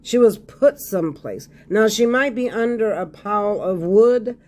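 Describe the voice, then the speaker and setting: Man, hoarse, high pitch, mellow tone, casual tarot reader, keeps checking in with viewers. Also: animated, measured pace. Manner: repeats phrases, checks in with frequent "okay?".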